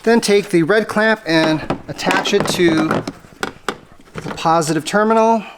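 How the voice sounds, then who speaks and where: An American how-to host with a mid-pitched voice, explaining a hands-on job step by step in a casual, conversational way. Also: unhurried, background noise. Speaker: host of an automotive how-to channel. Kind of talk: casual how-to tutorial narration.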